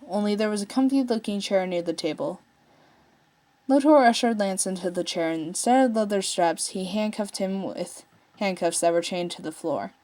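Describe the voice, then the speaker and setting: A woman's voice: medium pitch, dark tone. Woman reading a story aloud expressively. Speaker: amateur fan fiction reader.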